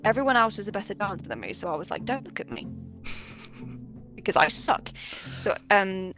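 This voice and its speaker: A woman's voice: medium pitch, sing-song, brisk, music in the background. A young woman chatting casually, telling stories with swearing and nervous laughs. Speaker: voice actress guest. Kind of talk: casual chat.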